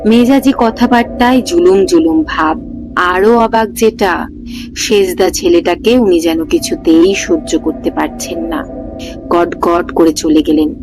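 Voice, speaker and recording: A woman's voice: lowish voice, measured pace, rough recording. A woman narrating a scripted ghost story in a dramatic storytelling style. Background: music.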